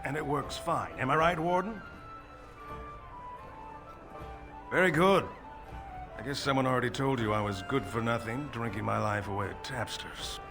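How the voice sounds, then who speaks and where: A man's voice: mid-range voice, creaky, close to an everyday voice. A man playing a wry, self-deprecating dwarf nobleman in a video game, with a casual, sardonic delivery. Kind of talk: video game character acting.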